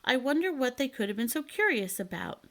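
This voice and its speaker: Storybook boy adventurer, played by a woman: earnest, curious, kindhearted, pitched up. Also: very animated, brisk, a bit bright, exaggerated voice. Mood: thoughtful. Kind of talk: children's storybook read aloud.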